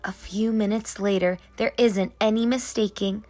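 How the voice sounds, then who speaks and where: A woman reading as a busy, whimsical, gently scolding tooth fairy in a children's storybook, in rhyme. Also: mid-range voice, steady tone, normal speed, faint music behind, natural voice. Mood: queasy, worried. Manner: sing-song rhyming delivery. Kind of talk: children's storybook narration in character.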